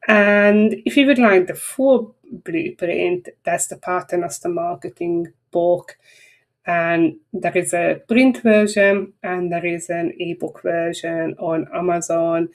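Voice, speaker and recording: Woman, Hungarian accent, slightly low voice, chatty, rambling, frank, webinar presenter. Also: steady tone, slow. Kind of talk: casual webinar wrap-up talk.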